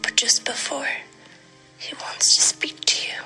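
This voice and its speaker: A woman playing a terrified daughter in an audio drama, leaving desperate, breathy, tearful whispered phone messages. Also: unhurried, soft background music, poor audio. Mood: panicked, tearful. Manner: breathy whispering breaking into sobs. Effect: voicemail played on a phone loudspeaker.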